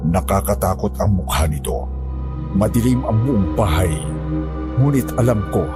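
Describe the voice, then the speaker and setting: Low-voiced man narrating a horror story. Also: animated, normal speed, music in the background. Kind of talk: scripted first-person horror narration.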